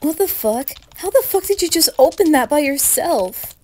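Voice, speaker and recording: A woman narrating a first-person horror story with dramatic, anguished intensity and a breathy delivery. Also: sits high, expressive, moderate pace, darkish tone.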